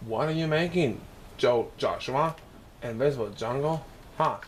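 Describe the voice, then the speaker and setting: A man's voice: mid-range voice, a little slow, a little hiss or hum, natural voice. A man reading a storybook and voicing a teasing young schoolboy with a blunt, taunting manner. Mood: mocking.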